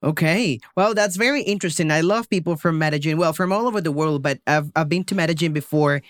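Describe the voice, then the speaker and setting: A man's voice: on the high side, fast, fairly bright tone, no echo, studio quality. A man hosting an interview podcast as an English teacher, upbeat and enthusiastic.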